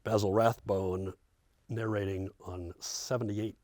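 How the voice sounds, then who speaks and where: An older American man with a fairly deep voice talks in a dry studio. He is a seasoned radio host who reminisces casually, with wry, self-deprecating humour. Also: steady tone, measured pace, a little mellow, no echo. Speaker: veteran public radio host.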